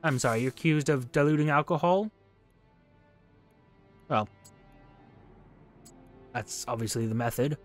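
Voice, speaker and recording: A man's voice: mid-range voice, a little slow, pauses often, soft background music. A man hosting a game playthrough, reading text aloud and commenting in an articulate, dryly understated way. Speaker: gaming video host.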